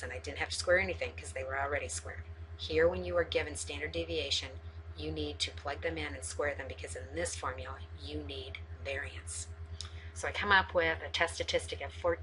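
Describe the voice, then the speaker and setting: A woman's voice: low voice, sharp tone, slight background noise, poor audio. A woman teacher giving a methodical, step-by-step instructional walkthrough, addressing her students directly. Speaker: math teacher.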